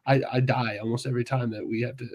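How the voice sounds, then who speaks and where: A man's voice: mid-range voice, flat delivery, fast, a little mellow. Easygoing man telling stories. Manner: easygoing, self-deprecating storyteller. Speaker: podcast co-host. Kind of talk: casual chat.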